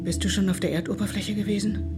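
A woman's voice: mid-range voice, fairly even intonation, fast, close to an everyday voice. An actress playing an anxious mother in an underground world who prays to the Machine in a murmuring voice. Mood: pleading. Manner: prayerful, murmuring. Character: middle-aged mother living underground, anxious and devout to the Machine. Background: music with singing bowls.

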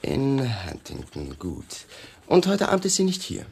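An actor playing a police lieutenant who questions in a polite, insinuating, deliberate way, in a German radio crime drama. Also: male voice, mid-range voice, huge pitch swings, vocal fry, a little mellow, a little hiss or hum, exaggerated voice. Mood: probing.

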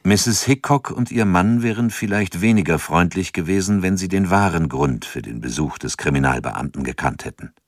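A man narrates a radio drama in a very deep voice, recorded dry and close. His delivery is measured and sober, in the manner of a formal scripted reading. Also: no echo.

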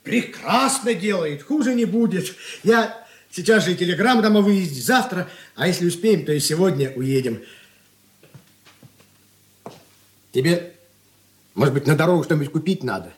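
High-voiced, glib young merchant. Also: male voice, expressive, normal speed. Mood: triumphant, brisk. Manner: glib, clowning. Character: boastful, wheedling young merchant husband, a drinker. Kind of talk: stage-style radio drama acting.

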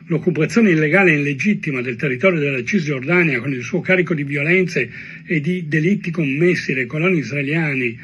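A muffled video playback with faint noise carries a man's voice, pitched a little high. He is a journalist delivering a scripted monologue, deliberate and emphatic, leaning on repetition. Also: fairly bright tone.

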